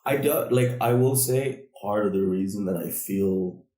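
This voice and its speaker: A man co-hosting a casual podcast, speaking in a reflective, measured way. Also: lowish voice, mellow, echoey room. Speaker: podcast co-host.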